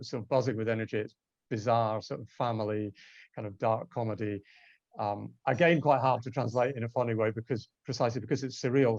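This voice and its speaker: A man speaking off the cuff as a panel guest, thoughtful and halting as he rephrases himself. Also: mid-range voice, a little mellow, no room sound, lo-fi sound. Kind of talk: unscripted panel talk.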